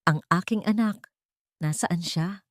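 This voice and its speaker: A woman's voice: on the low side, slow, no echo, clean studio sound. A woman voicing a dignified, grieving mother in a drama story, speaking with accusing intensity. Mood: anguished. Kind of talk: acted story dialogue.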